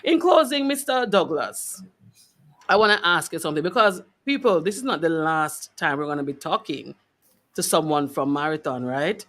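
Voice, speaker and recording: A woman's voice: low-pitched, animated, normal speed, a bit bright. A woman hosting a faith-based radio show in a conversational interview style.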